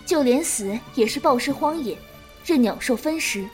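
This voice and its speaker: A woman playing a calm, principled, protective elder-sister swordswoman in a martial-arts audio drama. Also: high voice, moderate pace, soft background music. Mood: solemn. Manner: composed, coaxing, righteous lecturing.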